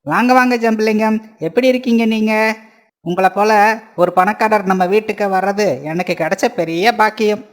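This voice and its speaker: A man's voice: sits high, moderate pace, lightly acted. A man acting a blustering, greedy landlord. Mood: oily, flattering. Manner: blustering, self-righteous. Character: greedy, deceitful village landlord, falsely honest and bullying. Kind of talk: Tamil cartoon moral story acting.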